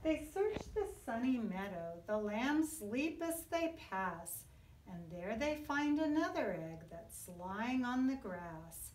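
Female kindergarten teacher, middle-aged, highish voice, very slow expressive storybook reading, recorded at a distance in a classroom. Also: slight background noise. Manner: expressive, sing-song storytelling for young children. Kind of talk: read-aloud of a children's picture book. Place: indoor classroom. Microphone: camera a few feet away. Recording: roomy echo, distant microphone.

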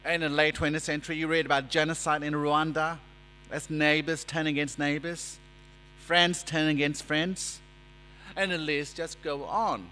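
A man preaches from a lectern in a church, measured and emphatic as he builds his points. His voice sits a little high and sounds bright and ringing. The long reverb of the hall trails each phrase, with a low mains hum underneath. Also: fairly even intonation. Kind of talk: sermon. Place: church sanctuary, indoors. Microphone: lectern microphone.

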